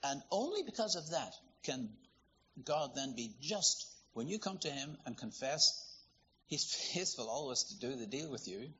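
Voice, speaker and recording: A man from Ireland, preaching a sermon in a lively, conversational, storytelling way. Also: medium pitch, normal speed, husky, lo-fi sound. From Northern Ireland.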